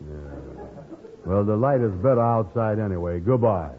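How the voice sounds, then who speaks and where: Man playing slow-witted big lug, low husky voice, blustering, hemming, soft-hearted, old radio sitcom, tinny band-limited sound. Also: natural voice. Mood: stubborn. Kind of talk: 1940s radio comedy acting.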